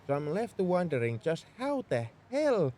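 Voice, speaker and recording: A man's voice: high voice, huge pitch swings, normal speed, dark tone, a little hiss or hum. A man playing a tsundere mech engineer in a roleplay audio drama, scolding and sarcastic with worry underneath. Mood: angry, incredulous. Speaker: voice actor.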